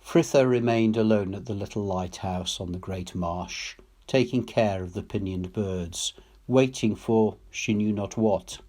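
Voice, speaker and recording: A man reading literary fiction aloud as a storyteller, in a measured, solemn manner, phrase by phrase. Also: on the low side, mellow. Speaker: story reader, teacher.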